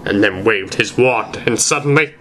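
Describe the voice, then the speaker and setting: A man's voice: fairly low pitch, normal speed, pushed and bright, slight background noise. A man narrating fan fiction aloud in a theatrical reading style.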